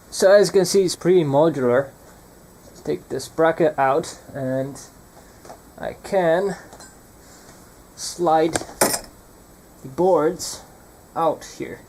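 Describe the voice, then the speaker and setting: Slow, halting young man explaining hands-on. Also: medium pitch, a little mellow, slight background noise. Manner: halting, hesitant, searching for words. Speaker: presenter of an electronics teardown. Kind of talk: hands-on demonstration, unscripted explanation.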